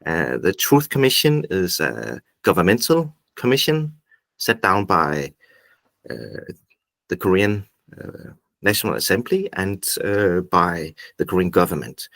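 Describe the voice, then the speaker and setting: A man with a Danish accent giving an informal presentation on a video call in a halting, pause-filled way. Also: low voice, big pitch swings, vocal fry, a bit bright, no echo. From Denmark. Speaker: invited speaker, activist group founder. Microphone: video call microphone.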